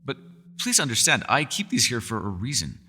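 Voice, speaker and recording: A man's voice: medium pitch, big pitch swings, fairly quick, bright, forceful tone, breathy edge, long reverb tail, heavily acted. A man voicing a centuries-old elf scholar in an ASMR roleplay, courteous and meticulous, with formal wording and small hesitant stammers. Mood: earnest explaining. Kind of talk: ASMR roleplay voice acting, one-sided dialogue.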